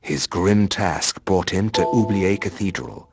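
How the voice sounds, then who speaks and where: An actor playing a grave, learned man who narrates dark history in a video game, measured and husky. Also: deep voice. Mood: solemn, grave. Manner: solemn, measured. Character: grave, learned male narrator recounting horrors.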